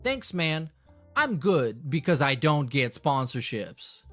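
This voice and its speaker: Guy streamer, kinda high voice, sweary and dismissive, reading stuff out and reacting, bit of music behind. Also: very animated, slow pace. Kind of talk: casual reaction commentary, reading messages aloud.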